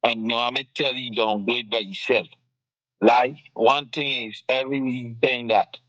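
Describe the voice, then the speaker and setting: A man's voice: mid-range voice, steady tone, a little slow, a bit bright, dry recording, lo-fi sound. A man with a Guyanese accent hosting a casual political talk show, passionate and firing off rhetorical questions.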